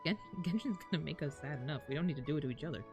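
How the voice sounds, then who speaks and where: A woman's voice: low voice, rapid-fire, music in the background. A woman live streamer chatting informally and slangily with her audience while reacting to a game. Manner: informal, slangy, talking to chat.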